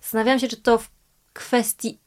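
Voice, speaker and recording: A woman interviewer asking thoughtful, winding questions in a relaxed conversation. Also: on the high side, fairly quick.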